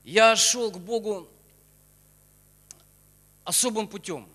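A middle-aged man delivers a sermon in a high, forceful voice. He exhorts in short bursts, leaving gaps for translation, and his words ring through a big reverberant hall. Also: slightly rough. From Ukraine. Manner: exhorting, pausing after short phrases. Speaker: preacher. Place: church auditorium stage. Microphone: handheld microphone. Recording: long hall reverb from church PA.

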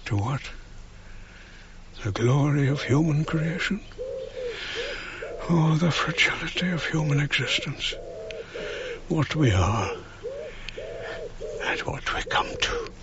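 An actor playing an elderly, wistful archaeologist in a radio drama monologue, speaking in a measured, halting, brooding way. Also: male voice, fairly high pitch, huge pitch swings, noisy background, exaggerated voice. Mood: reflective, melancholy. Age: older. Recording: dull radio drama recording, music behind.

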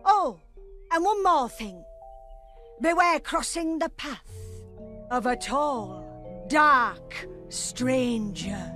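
A woman voicing an ominous, theatrical fortune teller in an animated game cutscene. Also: high voice, drawn-out words, long pauses, faint music behind, exaggerated voice. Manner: portentous, dramatic.

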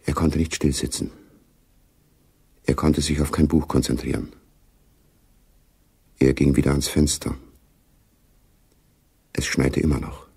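A male radio play narrator with an extremely low, gravelly voice. He reads scripted prose soberly and very evenly. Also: fairly even intonation, darkish tone, a little hiss or hum. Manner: sober, measured literary delivery.

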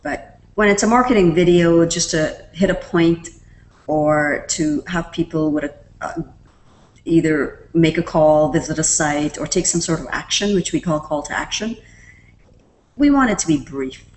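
A woman presenting a screen-recorded software tutorial, explaining each step in a relaxed way with fillers. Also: sits low, a little slow, a little hiss or hum. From Canada.

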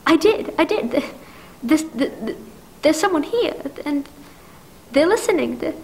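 A woman acting a nervous, demanding invalid wife in a vintage radio drama. Her voice sits very high and stammers through pleas and repeats. The recording has some background noise. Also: lively intonation, unhurried. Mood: fearful. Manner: stammering, pleading, repeats herself. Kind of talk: 1940s radio play acting on stage.

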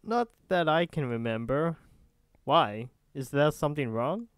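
A man's voice: a little high, expressive, a little slow, dry recording, studio quality. A man playing a nosy, easygoing young friend in an ASMR roleplay, casual and teasing.

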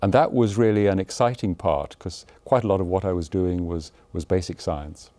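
Low, mellow-voiced professor in a studio. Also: male voice, moderate pace, dry recording. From Zimbabwe and Malawi. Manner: self-deprecating, thoughtful, frequent hesitations. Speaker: cardiology professor, interview guest. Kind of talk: relaxed conversational interview. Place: studio set with a blue backdrop. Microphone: lapel mic.

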